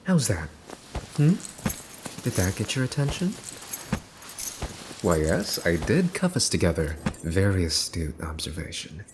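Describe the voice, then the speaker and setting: A man playing a possessive, teasing young mafia boss's son in an ASMR roleplay, smug and taunting, slipping into breathy whispers. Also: sits low, big pitch swings, a little slow, pauses often, background noise. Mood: mocking, coaxing. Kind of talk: ASMR boyfriend roleplay acting.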